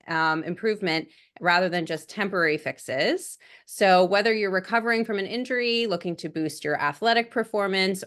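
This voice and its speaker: A woman's voice: lowish voice, fairly bright tone. A woman co-hosting a podcast, bubbly and gushing, with lots of fillers. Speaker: podcast co-host. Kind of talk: casual podcast chat.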